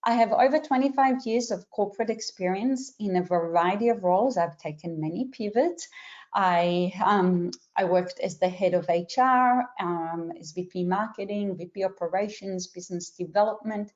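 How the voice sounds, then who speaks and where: A middle-aged woman with a mixed Israeli, Australian and American accent hosts a webinar, explaining each step clearly and pausing now and then. Her voice sits at a medium pitch. It comes through a video call, slightly muffled and lacking crisp highs. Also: darkish tone. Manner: clear and instructive, with some filler pauses. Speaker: webinar host and career coach. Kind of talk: webinar introduction.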